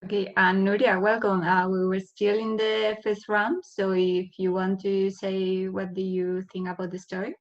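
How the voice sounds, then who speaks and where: A woman's voice: mid-range voice, flat delivery, normal speed, few pauses. A woman casually moderating a book club discussion over a video call, a little hesitant. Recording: slightly dull video call audio.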